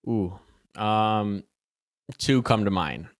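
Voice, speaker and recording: Young man from Chicago, deep clean voice, laid-back guest talk, dry close sound. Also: extremely slow, no room sound. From Chicago, United States. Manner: relaxed, many fillers and restarts, laughs easily. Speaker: podcast guest, soccer player. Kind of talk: casual interview chat.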